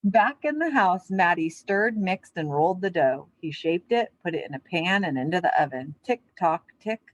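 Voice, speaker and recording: A woman speaking as an elementary teacher to young children, in simple, clear wording suited to a lesson or storybook read-aloud. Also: on the low side, animated, normal speed, no echo, dull audio. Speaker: elementary physical education teacher.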